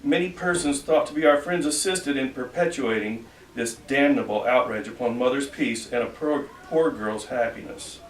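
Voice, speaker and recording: A man's voice: mid-range voice, moderate pace. Man reading dramatically in an echoey room. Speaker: reader at a library event. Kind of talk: dramatic reading of an old formal letter. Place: library reading room at a public event. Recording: echoey room, distant mic, faint noise.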